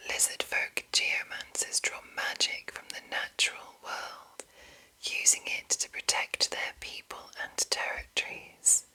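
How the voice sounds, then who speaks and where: A woman whispering an ASMR reading in a breathy voice, deliberate and broken into short phrases with pauses. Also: pushed and bright, poor audio. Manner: slow, deliberate, pausing between short phrases. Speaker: ASMR host reading game monster entries.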